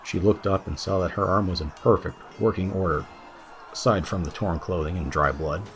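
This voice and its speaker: Deep-voiced man narrating a fantasy story. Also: normal speed, fairly bright tone. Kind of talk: scripted fantasy audiobook narration.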